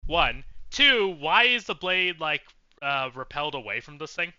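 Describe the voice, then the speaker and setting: A man commentating on a game in a loose, jokey way, swearing freely. His voice is sharp and animated, swinging widely in pitch. The mic sounds dull and lo-fi, with game music faint behind. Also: unhurried, clean tone, no room sound. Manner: swearing, jokey, laughing often. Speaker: let's play streamer. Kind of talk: casual gameplay commentary.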